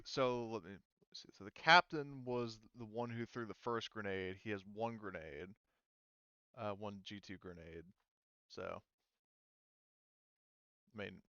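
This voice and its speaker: Low, smooth-voiced man refereeing a game. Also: fairly bright tone, no echo, muffled recording. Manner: halting, thinking aloud. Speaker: game master. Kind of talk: casual tabletop game refereeing.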